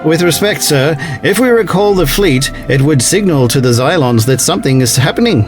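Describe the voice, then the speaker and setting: A man's voice: on the high side, animated, moderate pace, over music, close to an everyday voice. A man playing a troubled, dutiful space-fleet officer in a sci-fi audio drama, earnest and respectful but doubting.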